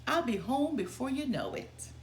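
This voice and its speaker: Woman voicing a tiny plucky storybook boy, high-pitched. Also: wildly swooping pitch, moderate pace, reverberant, slight background noise. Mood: confident.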